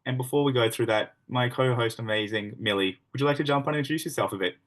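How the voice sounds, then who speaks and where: A man hosting a business presentation over a video call, talking in a brisk, upbeat and slightly rambling way. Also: medium pitch, fairly bright tone. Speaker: host.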